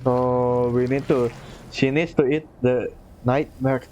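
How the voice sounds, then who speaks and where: Very low-voiced, unhurried woman streamer. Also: steady tone, dark tone, lo-fi sound. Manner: low-key, short reactions. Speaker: game streamer. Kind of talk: casual live commentary over gameplay. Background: game music.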